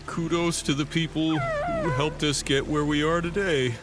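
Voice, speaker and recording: A man's voice: a little high, huge pitch swings, a little slow, music in the background. Timid, stammering henchman. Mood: nervous. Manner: hesitant, stammering. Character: timid dim-witted nervous male henchman. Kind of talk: theatrical game cutscene voice acting.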